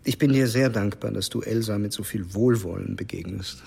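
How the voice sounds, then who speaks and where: An actor playing a charming, easygoing middle-aged father in a naturalistic radio drama, gravelly and courteous. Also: lowish voice, fairly quick, a little mellow, exaggerated voice.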